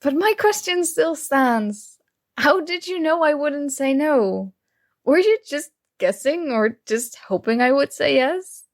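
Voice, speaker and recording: Woman acting young female roommate, shy and teasing, smitten, conversational ASMR roleplay, touch of vocal fry, close dry sound. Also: high-pitched, expressive, no echo, heavily acted. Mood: flustered surprise. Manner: playful, conversational, halting.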